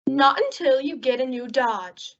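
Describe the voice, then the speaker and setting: Woman acting haughty sweetheart, high bright voice, dismissive, melodramatic, 1950s radio ad, muffled recording. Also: expressive, measured pace, full character voice. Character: haughty, picky young sweetheart in radio car ad.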